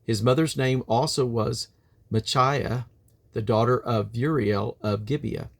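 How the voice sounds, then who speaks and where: A man's voice: mid-range voice, measured pace, vocal fry. Solemn man reading scripture aloud. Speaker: Bible reader. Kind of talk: scripture reading aloud from the King James Bible.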